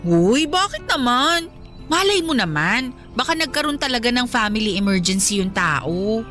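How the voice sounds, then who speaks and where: A woman playing a good-natured young best friend in a radio drama, chatty, coaxing and gently scolding, with a Filipino accent. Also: fairly high pitch, sing-song, normal speed, faint music behind. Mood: curious, soothing.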